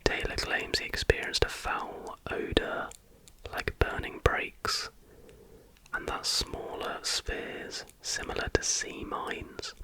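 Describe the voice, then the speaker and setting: A man whispering in a breathy, hushed voice, reading aloud informally in an ASMR style. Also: poor audio. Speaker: ASMR host. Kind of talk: whispered ASMR reading of stories with casual asides.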